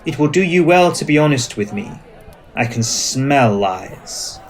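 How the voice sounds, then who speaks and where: Casting: male actor for ancient dominant vampire, suspicious, formal and commanding, mid-pitched voice, for ASMR roleplay. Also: expressive, lightly acted. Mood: probing, suspicious. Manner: formal, measured, commanding phrasing. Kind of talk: scripted ASMR roleplay acting.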